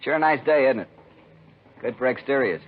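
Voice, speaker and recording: A man's voice: medium pitch, lively intonation, brisk, lightly acted. An actor playing a jumpy, over-polite studio prop man under suspicion, ingratiating, in 1950s radio acting style. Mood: nervously chatty. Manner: ingratiating, over-eager, apologetic. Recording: tinny, band-limited old radio recording, faint noise.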